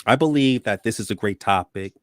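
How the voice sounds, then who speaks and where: A man hosting a talk show, upbeat and warm with his guests, often repeating words as he starts sentences. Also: fairly low pitch, a little fast, dry recording, clean studio sound. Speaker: host.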